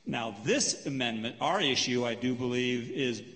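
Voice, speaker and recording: A man moderating a lecture Q&A, paraphrasing a question and answering it in a measured, explanatory way, counting off his points. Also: mid-range voice, animated, fairly bright tone. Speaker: panel moderator. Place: lecture hall. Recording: long hall reverb.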